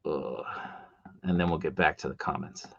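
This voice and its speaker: A man's voice: rumbling low, moderate pace, gravelly, breathy edge, dry recording, dull audio. A middle-aged man with a Boston accent hosting a casual livestream, chatting and reading out comments from viewers. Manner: chatty, reads chat comments aloud. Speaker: livestream host. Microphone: desktop mic.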